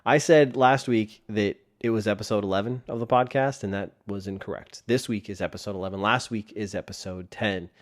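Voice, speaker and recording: A man's voice: fairly low pitch, steady tone, brisk, few pauses. A man hosting a solo podcast in a casual, rambling way, his voice tired from a sore throat. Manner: rambling with frequent restarts. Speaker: podcast host. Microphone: desk microphone.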